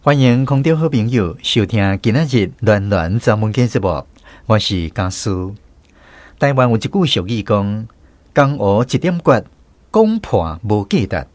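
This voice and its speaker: A man with a Taiwanese accent hosting and narrating a scripted radio programme in an expressive storytelling manner. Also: fairly low pitch, unhurried, dry recording.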